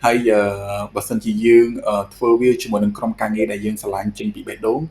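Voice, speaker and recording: A man's voice: on the low side, level tone, very fast, a little mellow. A man from Cambodia answering an interview question as a pageant contestant. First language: Khmer.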